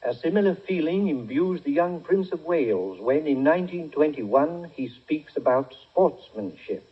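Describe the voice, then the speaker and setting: Muffled vintage radio sound, male narrator, husky voice, formal newsreel-style scripted delivery. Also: on the high side, animated, moderate pace.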